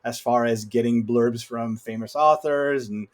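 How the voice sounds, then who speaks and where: A man's voice: mid-range voice, a little slow. A man speaking as a podcast guest, explaining things in a casual conversational way.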